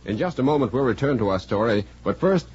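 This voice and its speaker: Bright, rapid male radio announcer. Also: mid-range voice, animated, breathy edge, soft background music. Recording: dull 1940s radio recording.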